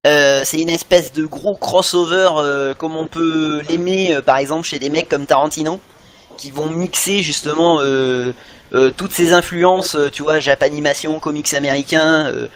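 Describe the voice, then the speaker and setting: Middle aged guy podcast cohost, kinda high voice, super into it, hunting for words. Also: fairly even intonation, moderate pace, slight background noise. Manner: enthusiastic, hesitant searching for words. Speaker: podcast co-host. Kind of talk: casual animation fan chat.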